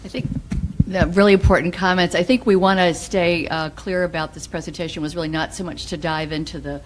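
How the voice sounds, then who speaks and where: A woman's voice: lowish voice, steady tone, fairly quick, background noise. A woman moderating a discussion at a conference, speaking as the session's chair.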